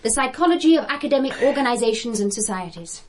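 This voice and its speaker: A woman's voice: a little high, expressive, quick pace, slight background noise, close to an everyday voice. A woman playing a cool, educated academic researcher in a radio drama, articulate and slightly guarded. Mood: composed, curious.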